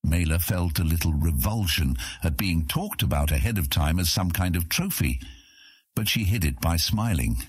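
Deep male voice, husky grain, scripted fantasy narration, dry close recording. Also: lively intonation, moderate pace, no echo. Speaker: audiobook narrator.

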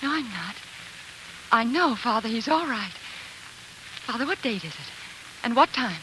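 An actress playing a devoted, resolute wife of an explorer in a 1940s radio drama, with earnest, refined delivery. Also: female voice, a little high, very animated, moderate pace, background noise. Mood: frail, fervent. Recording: vintage 1940s radio broadcast, music underneath.

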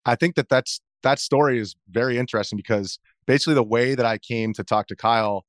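A man's voice: mid-range voice, fast talker, dry recording, clean studio sound. An American man, an interview guest and journalist, recounting his experiences in a casual conversational style.

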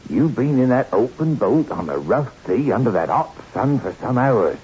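A man's voice: medium pitch, big pitch swings, moderate pace, mellow, lightly acted. A man playing a kindly, superstitious rustic seaman with a West Country accent in an old radio drama, folksy and respectful. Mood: kindly, deferential. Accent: West Country English. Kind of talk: 1960s radio drama acting. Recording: muffled old radio with hiss.

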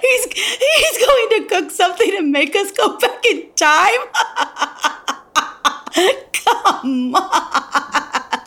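High-pitched, bewildered, sarcastic waitress. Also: female voice, big pitch swings, extremely slow, pauses often, bright, forceful tone, exaggerated voice. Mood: hysterical amusement. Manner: sarcastic, exasperated. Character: bewildered, sarcastic, foul-mouthed new waitress near breakdown. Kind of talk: comedy audio drama acting.

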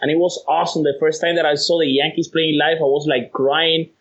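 A man's voice: a little high, little pitch movement, brisk. Cheerful Cuban-accented man on a dull line. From Cuba. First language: Spanish. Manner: cheerful, laughs easily, rambling. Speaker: podcast guest. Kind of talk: casual phone interview.

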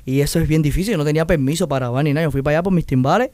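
Fast-talking young Cuban man, high voice. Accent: Cuban Spanish. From Cuba. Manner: animated, acts out quoted voices, restarts often. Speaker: guest artist. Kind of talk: casual interview storytelling.

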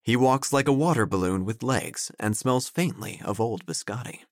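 An actor playing an earnest, wordy obituary writer who narrates an audio drama in a deliberate, deadpan way. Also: male voice, fairly low pitch, no echo, studio quality. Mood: deadpan, florid. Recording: faint music behind.

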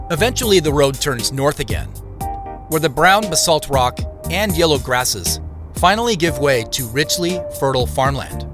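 An actor playing a reflective man who narrates his own journey in measured, descriptive first person for an audio drama. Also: on the high side. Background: music.

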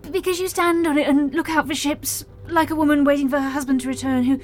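Actress playing a high-voiced, flustered, apologetic hostess. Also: female voice, steady tone, fast talker, natural voice. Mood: anxious, firm. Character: nervous, apologetic, chattering lighthouse hostess. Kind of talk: audio drama acting.

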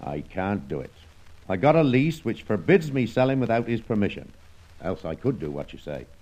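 An actor playing a proud, plain-spoken, worried old farmer with a rural English accent in an old radio serial. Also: male voice, fairly low pitch, very animated, normal speed, darkish tone, low-quality recording. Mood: resigned.

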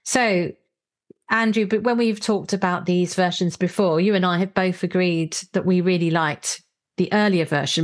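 A middle-aged British woman hosting a podcast, chatting conversationally as she reviews books. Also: on the low side. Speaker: podcast host. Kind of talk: conversational book review discussion.

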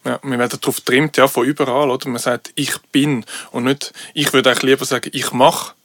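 A Swiss man with a Swiss German accent muses aloud as a podcast co-host. His pitch is in the middle range and his tone is relaxed, with frequent chuckles. The recording is dry and close-miked. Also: a little fast, no room sound. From Switzerland. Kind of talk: casual conversation.

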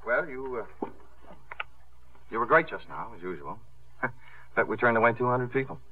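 A man's voice: mid-range voice, animated, moderate pace, lightly acted. Actor as brash, swooping carnival showman, old radio. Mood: sheepish. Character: brash, lovestruck carnival showman, cocky and sarcastic. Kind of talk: 1950s radio drama acting. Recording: muffled, roomy old radio broadcast.

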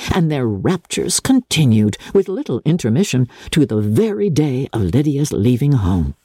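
Expressive woman narrator, husky and lively. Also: rumbling low, dry recording, clean studio sound. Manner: expressive, deliberate storytelling. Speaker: narrator of classic literature readings. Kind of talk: dramatic audiobook narration.

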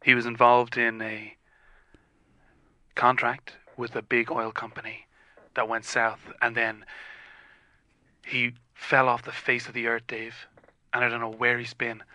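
Man doing an aggrieved wife, very bright tense tone, dry sound. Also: mid-range voice, monotone, measured pace, long pauses, no room sound. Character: wronged wife demanding answers from Santa. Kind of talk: improvised comedy call-in.

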